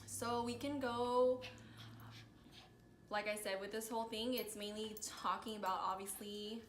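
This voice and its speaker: A woman's voice: a little high, steady tone, measured pace. Woman around thirty, echoey casual chat. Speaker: host interviewing her friend. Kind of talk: casual chat between friends. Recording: echoey room with faint noise.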